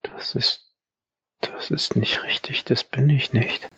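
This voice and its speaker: A man playing a confused, defiant captive army captain in an audio roleplay, halting, guarded and distrustful. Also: medium pitch, fairly even intonation, a bit bright, breathy edge, no room sound, whispered, dull audio, full character voice.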